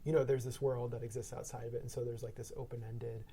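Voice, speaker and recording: A man talking casually in a round-table discussion, halting and hesitant in his phrasing. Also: mid-range voice, little pitch movement, warm tone, slight background noise.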